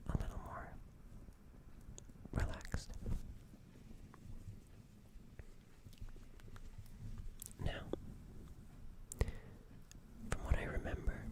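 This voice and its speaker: A performer playing a gentle, caring alien in an ASMR roleplay, speaking in a breathy, soothing whisper with some hesitation. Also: lightly acted. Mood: gentle, hesitant. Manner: soft, hesitant, soothing, repeats reassurances. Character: gentle caring alien tending a crash-landed human.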